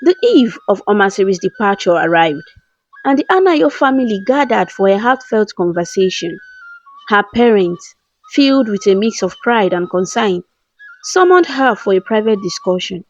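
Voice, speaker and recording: A woman narrates a scripted folktale in a formal, dramatic storytelling manner. Her voice sits at a medium pitch. The recording is a little lo-fi, with soft flute music underneath. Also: sing-song, moderate pace. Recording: slightly dull lo-fi voice-over. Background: soft background music with flute.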